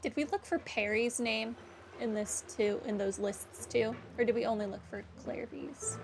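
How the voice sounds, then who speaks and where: A woman with a slightly high voice gives casual commentary on a video game. She reads dialogue aloud as she plays, with game music clearly audible behind her. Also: fairly even intonation, normal speed. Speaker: gamer playing through a story game.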